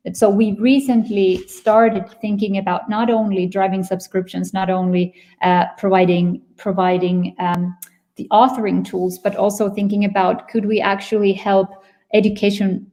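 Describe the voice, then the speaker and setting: A woman's voice: fairly low pitch, mellow. Finnish-accented woman presenting a webinar. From Finland. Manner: explanatory, searches for words mid-sentence. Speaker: company presenter.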